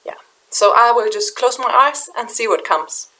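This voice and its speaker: Middle-aged Danish woman, slightly high voice, soft hesitant English, small room, webcam. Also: expressive, rough recording. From Denmark. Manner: soft, halting, repeats words while searching for them. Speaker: spiritual channeler. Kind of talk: casual spiritual vlog talk. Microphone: webcam in front of her.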